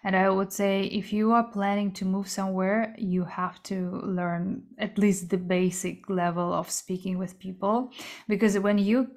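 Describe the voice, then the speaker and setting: Woman from kazakhstan on a podcast, halting, lots of little laughs, plain middle pitch. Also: fairly even intonation, a little mellow. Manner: halting, searching for words, frequent light laughter. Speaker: guest, entrepreneur. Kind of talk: casual interview answers.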